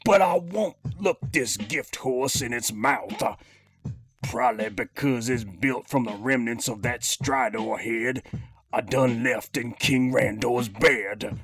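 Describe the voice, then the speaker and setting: A man's voice: medium pitch, takes it slowly, a bit bright. A man voicing a cocky, menacing cartoon master criminal who talks in theatrical street slang while presenting a scripted toy review. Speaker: host of a toy figure review. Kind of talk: scripted in-character review with radio-drama flair.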